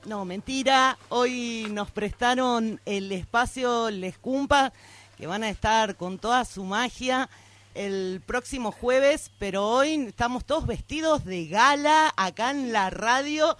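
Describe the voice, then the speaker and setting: High-pitched, unhurried man hosting radio. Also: fairly bright tone, no echo. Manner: playful, joking. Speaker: radio host. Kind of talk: casual radio interview. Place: radio studio.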